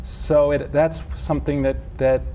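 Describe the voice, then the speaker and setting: Man, middle-aged, from Switzerland, relaxed warm voice, measured explaining, faint hiss. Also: a little high. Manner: measured, explanatory, with frequent restarts. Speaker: physician and homeopath guest. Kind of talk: interview answers.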